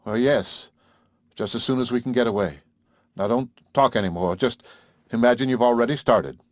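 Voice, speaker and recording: A man voicing a calm, steady country doctor speaking plainly to his wife. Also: medium pitch, normal speed, no room sound, studio quality, lightly acted. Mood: soothing.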